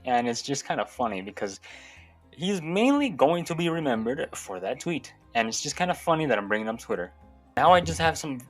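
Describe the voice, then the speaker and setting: A man's voice: medium pitch, big pitch swings, a little fast. Casual male commentator over background music. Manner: informal, sweary. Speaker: YouTube commentator. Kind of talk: casual opinionated video commentary.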